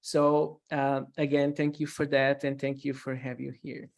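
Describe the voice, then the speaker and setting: A man hosting an online talk as a speech therapist, introducing a guest with many pauses and restarts. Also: mid-range voice, little pitch movement, creaky. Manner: hesitant, many fillers and restarts. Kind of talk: webinar host introduction.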